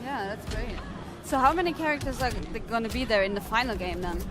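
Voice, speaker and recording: A woman's voice: fairly high pitch, a little fast. A woman interviewer asking conversational questions and chiming in with short reactions. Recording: loud music behind the voice. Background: loud music.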